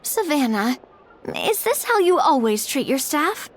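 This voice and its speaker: A woman voicing a poised, principled young leader in a video game, kind but firm, earnest and expressive. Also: high voice, a little slow.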